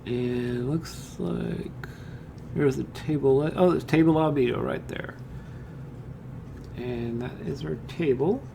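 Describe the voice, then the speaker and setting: Casual man with a slightly creaky voice. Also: fairly high pitch, slow pace, long pauses, noisy background. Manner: casual, thinking aloud, addresses viewers as guys. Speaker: tutorial host. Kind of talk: informal screen-share software tutorial, talking through steps while working.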